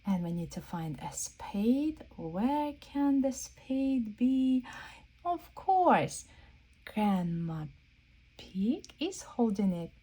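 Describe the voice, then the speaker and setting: Slow, soft husky woman reading to kids. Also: a little high, sing-song. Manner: playful question-and-answer, prompting listeners to look; simple, repetitive phrasing aimed at small children. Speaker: children's read-aloud host. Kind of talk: interactive picture-book read-aloud for young children.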